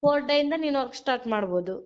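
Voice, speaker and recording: A woman's voice: high voice, animated, rapid-fire. A woman recruiter on a phone call, pushing a job offer and hurrying the listener along. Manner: pushy, repeats "okay?" to hurry the listener. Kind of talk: phone sales pitch. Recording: muffled phone call recording.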